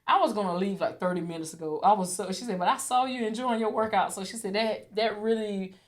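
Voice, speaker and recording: A woman's voice: mid-range voice, quick pace. A woman in her thirties, a podcast host chatting casually and acting out the voices in her stories. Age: 30s. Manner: animated storyteller, acts out quoted voices. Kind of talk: casual chat.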